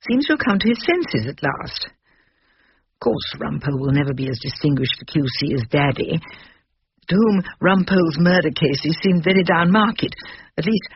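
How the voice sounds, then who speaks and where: Imperious older English wife, muffled recording. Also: female voice, sits low. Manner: haughty, precise, bossy. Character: imperious older barrister's wife, sharp and self-assured. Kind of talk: radio drama dialogue and narration. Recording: dull lo-fi radio recording with hiss.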